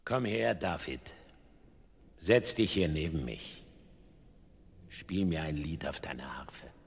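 A man's voice: rumbling low, animated, slow, gravelly, crowd noise, full character voice. An actor playing a fatherly biblical king in a German radio play. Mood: gentle, generous. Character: moody ageing biblical king, kindly to a young harpist.

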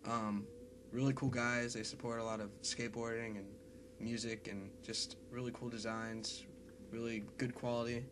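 A congested young man with a cold introduces his song demo in a quiet, mumbled, quick casual way, talking into a webcam in a small bedroom. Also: mid-range voice, level tone, background noise. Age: young adult. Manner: quiet, mumbled, fast and filler-heavy talk. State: congested with a cold and sore throat. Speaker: singer-songwriter. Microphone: webcam close up. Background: acoustic guitar.

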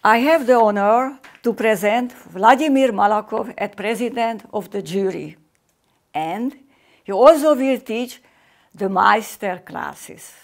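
An older woman from Hungary with a Hungarian accent, giving a formal welcome speech in slow, halting English as a competition's artistic director, filmed indoors. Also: on the high side. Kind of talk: formal video welcome address. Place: indoor room with painting behind.

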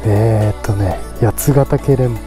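A motorcycle vlogger chats casually to viewers from inside a helmet while out riding. The voice sits at a middling pitch and breaks easily into spontaneous exclamations. Music plays clearly behind the talk. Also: a little slow. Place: outdoors, riding a motorcycle on mountain roads. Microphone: helmet mic.

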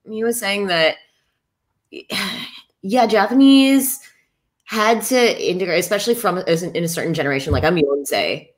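A woman's voice: mid-range voice, moderate pace. A woman in her thirties from the United States, a voice actor on a video call from home, reflecting at length with "you know" and "um.". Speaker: voice actor panelist. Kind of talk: thoughtful casual chat. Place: home room with a gaming chair. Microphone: webcam on a video call.

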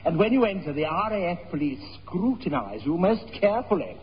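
A man's voice: high-pitched, sing-song, moderate pace, a little hiss or hum. A man playing a fussy, polite, hesitant amateur theatre producer in a 1960s radio sitcom. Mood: fussy, apologetic. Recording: muffled old radio recording, echoing hall.

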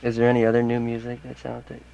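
A man hosting a casual radio interview as the DJ, low-key and unhurried, in a studio. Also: monotone, vocal fry, mellow, lo-fi sound. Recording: noisy old radio aircheck.

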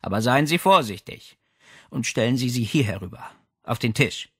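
A man's voice: medium pitch, expressive, moderate pace, a bit bright, breathy edge, dry recording, clean studio sound. A man voicing a haughty, imperious lady with a condescending, commanding way of speaking in an audiobook. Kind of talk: audiobook character dialogue.

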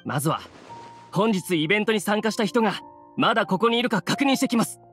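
An earnest young townsman speaks politely and formally in an anime game. His pitch moves a lot, and music plays underneath. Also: animated, quick pace, fairly bright tone. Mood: concerned. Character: earnest, courteous young townsman organising an event.

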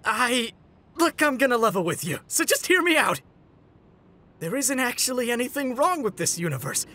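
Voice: medium pitch, very animated, normal speed, sharp tone. An actor voicing a glib, unruffled, teasing young trickster in a comic dub. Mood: breezy, placating. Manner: breezy, glib, conversational. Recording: music behind.

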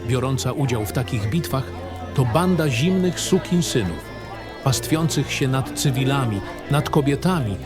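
Man narrating grim fantasy, lively intonation. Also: medium pitch. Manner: grave, literary storytelling. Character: war-weary cynical baron recounting grim memories. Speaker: audio drama narrator. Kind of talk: dramatic first-person fantasy narration.

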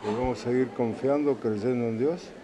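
A man asking interview questions in a halting, probing manner. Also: medium pitch, mellow, low-quality recording. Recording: crowd murmur behind. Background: crowd.

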